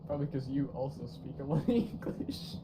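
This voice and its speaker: Young guy on stream, jokey and laughy, mid voice, clear hiss on his mic. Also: mid-range voice, a little fast. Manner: animated, laughs often, playful sarcasm. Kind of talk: casual chat while gaming. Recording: noisy stream mic audio.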